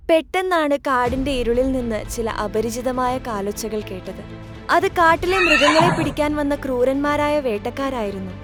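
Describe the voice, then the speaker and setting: High-pitched woman narrating over music. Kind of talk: scripted children's storytelling. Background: clear background music.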